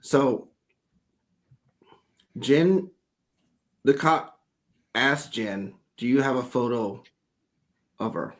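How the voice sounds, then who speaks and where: A man's voice: mid-range voice, extremely slow, long pauses. A man hosting a casual livestream, talking loosely and animatedly as he breaks down video footage, with the odd curse. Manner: animated, loose storytelling with profanity.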